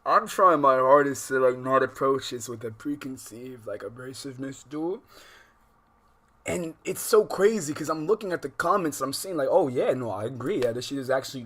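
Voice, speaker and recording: A man's voice: mid-range voice, lively intonation, fairly quick, a bit bright, slightly rough. A man live-streaming a casual reaction, full of slang, speaking emphatically and incredulously and often repeating himself. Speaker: livestream host reacting to a video.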